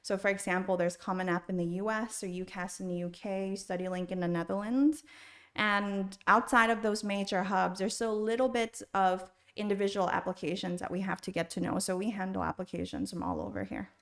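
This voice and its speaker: A woman's voice: mid-range voice, a little fast, fairly bright tone. A middle-aged woman with an American accent, answering interview questions conversationally as a podcast guest. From Thailand, then the United States from age six. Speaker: podcast guest, school university counselor.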